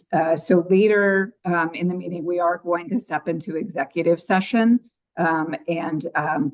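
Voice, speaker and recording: A woman on a commission, her voice a little scratchy, giving a grant and project update with many ums at a public meeting. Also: fairly low pitch. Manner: frequent ums, apologetic. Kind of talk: meeting report.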